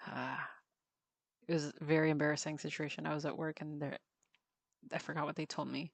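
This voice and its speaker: Chatty woman with a lowish, dry voice. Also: level tone, fairly quick, gravelly, no room sound. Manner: chatty, laughs often, many ums and restarts. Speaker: livestreamer drawing on a tablet. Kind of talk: casual chat while drawing.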